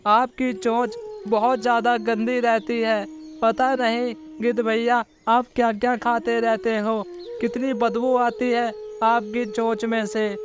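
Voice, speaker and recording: Casting: man as little cartoon hen bird, high-voiced, pleading, clever, slow delivery. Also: flat delivery. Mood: resigned, coaxing. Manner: plaintive, reproachful, drawn-out pleading. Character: small, pleading, clever female songbird. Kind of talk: children's cartoon story dialogue. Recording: loud music bed.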